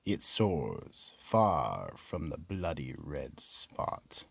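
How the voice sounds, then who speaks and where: A man's voice: a bass voice, creaky, breathy edge, dry recording. A man narrating and announcing a scripted radio horror drama with dramatic, ominous storytelling.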